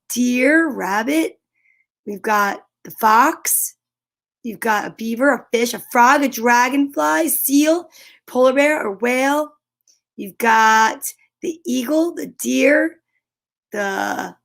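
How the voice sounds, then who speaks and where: A woman with a fairly high voice reads picture books aloud for a children's story time. She takes it very slowly, with expressive exclamations and casual, chatty asides to whoever is watching. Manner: expressive, gushing exclamations and gasps; chatty, self-interrupting asides to viewers.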